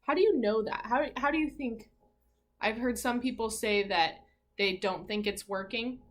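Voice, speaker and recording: A woman teacher reading a picture book aloud to children in an expressive, encouraging way, pausing to ask her listeners questions. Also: a little high.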